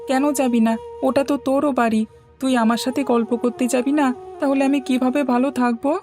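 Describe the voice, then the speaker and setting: A woman's voice: high-pitched, steady tone, fast talker, darkish tone, soft background music, natural voice. A woman voicing an affectionate, anxious young boy, a little brother in a fairy-tale cartoon. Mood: affectionate, plaintive.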